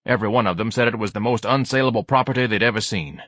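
A man's voice: lowish voice, quick pace, a bit bright, dry recording. A man voicing an exasperated middle-aged husband in an audiobook, with a dry, grumbling manner.

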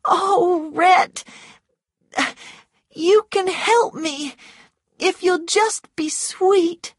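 A woman's voice: very high-pitched, drawn-out words, no room sound, clean studio sound, pitched above the performer's own voice, full character voice. A woman voicing an anxious, coquettish young Southern woman who pleads sweetly in an audiobook story. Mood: sweetly pleading.

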